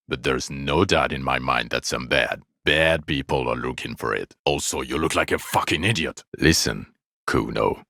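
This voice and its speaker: A man's voice: very deep voice, pushed and bright, no echo, exaggerated voice. A man voicing a jaded, foul-mouthed police detective in a video game, with dry, biting sarcasm and exasperation. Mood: weary, reproachful. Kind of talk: video game character voice acting.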